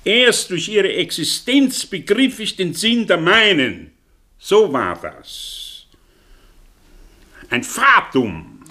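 An actor playing a proud, scornful intellectual professor in a radio play, searching for words with theatrical emphasis and a husky voice. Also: male voice, high-pitched, big pitch swings, drawn-out words, sharp tone, exaggerated voice. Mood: fervent, reflective. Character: proud, scornful, brooding intellectual professor confessing an old obsession. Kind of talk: German radio play acting, 1960.

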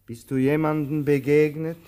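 An actor playing a weary, anxious male tramp in a slow theatrical radio drama, musing haltingly with long pauses and sudden urgency. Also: a little high, level tone, mellow, clear, resonant tone, lightly acted. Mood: probing, insistent.

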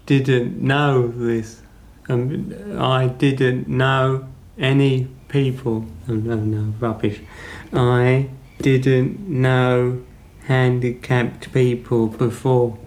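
A man giving interview answers in short, halting fragments and simple sentences. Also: mid-range voice, little pitch movement, a little mellow.

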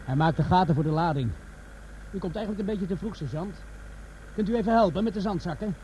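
A man plays a sardonic army corporal in a comic crime radio play. The voice is high for a man, deadpan and mock-polite. There is faint noise behind it. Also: quick pace, close to an everyday voice, rough recording. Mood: businesslike. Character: dry, sardonic army corporal, robber's sidekick. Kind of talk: comic radio drama acting.

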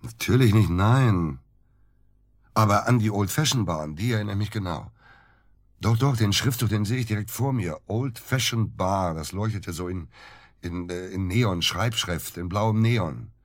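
Actor as a deep, husky, evasive man under suspicion. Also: no room sound, clean studio sound, lightly acted. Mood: insistent. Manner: halting, hesitant, defensive. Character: evasive, dejected male hospital doctor under suspicion. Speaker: actor in a radio drama. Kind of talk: naturalistic German radio drama acting.

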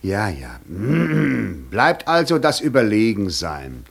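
An actor playing a suave, sly, mocking devil in a German radio play, with an insinuating, teasing manner. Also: male voice, lowish voice, huge pitch swings, takes it slowly, creaky, slightly rough, heavily acted. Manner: insinuating, teasing, feigned puzzlement.

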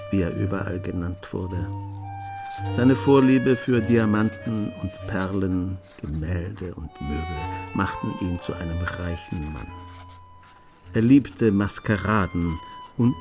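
A reflective writer who narrates a radio drama. His voice is fairly low and very slow, measured throughout. Music plays behind him. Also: full character voice. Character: reflective male writer telling a thief's adventures. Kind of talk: scripted narration.

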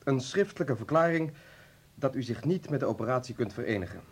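An actor playing a stiff, offended hospital doctor in a Dutch radio play, formal and cutting in delivery. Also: male voice, medium pitch, animated, brisk, slightly rough. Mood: coldly formal.

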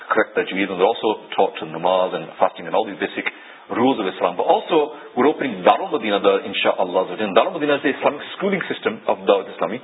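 A man's voice: lowish voice, slightly rough. A man speaking conversationally about faith, repeating phrases and peppering his speech with Arabic religious expressions. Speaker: religious talk show speaker. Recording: tinny phone-like broadcast audio with noise.

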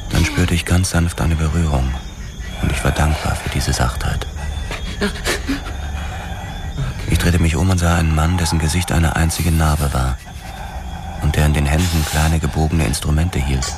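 An actor playing a police detective who narrates a grim crime radio drama in a sombre, deliberate, terse way. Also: male voice, rumbling low, steady tone, noisy background. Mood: grim, haunted. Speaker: first-person narrator.

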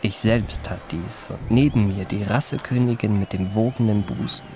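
A man's voice: on the low side, moderate pace, background noise, close to an everyday voice. An actor playing a refined, self-regarding adventurer who recounts his memoirs in polished, ornate phrasing for a radio drama. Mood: admiring, rapt. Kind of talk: literary radio drama narration.

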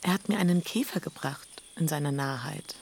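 Lea, a proud, possessive sister. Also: female voice, low-pitched, fairly quick, gravelly, darkish tone, a little hiss or hum. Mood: guarded. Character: Lea, proud elder sister and mother of sons. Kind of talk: radio drama acting.

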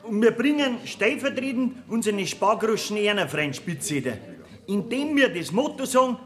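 A man's voice: sits high, expressive, moderate pace. An actor playing a humble, hesitant, earnest male factory worker in a German radio drama. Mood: nervous, ceremonious.

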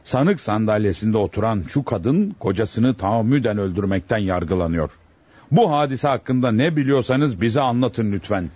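An actor playing a stern, fatherly presiding judge in a radio drama, speaking formally, deliberately and with authority. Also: lowish voice, expressive, a little mellow, slightly rough, no room sound. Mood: probing. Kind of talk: Turkish radio theatre acting. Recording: muffled, dry lo-fi radio recording.

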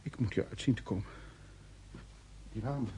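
A man's voice: on the low side, moderate pace, natural voice. An actor playing a flustered traveller in a radio drama, stammering as he protests and pleads over a mistaken arrest. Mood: panicked despair. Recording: faintly noisy radio play recording.

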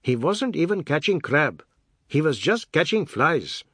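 A man narrating puts on a middle-aged bully of an uncle from a storybook. His voice rides high and swings widely, husky and sneering. He boasts and taunts in colloquial grammar, all jovial menace. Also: very animated, measured pace, a bit bright, dry recording, studio quality, exaggerated voice. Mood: boastful, bitter. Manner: non-standard colloquial grammar, taunting rhetorical questions.

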